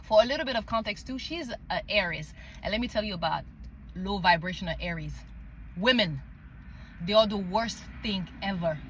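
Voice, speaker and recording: A woman's voice: mid-range voice, lively intonation, normal speed, a little hiss or hum, poor audio. A woman from France and Mali with a French accent, telling a personal story casually and emphatically, often checking in with the listener. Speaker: spiritual vlogger sharing personal story.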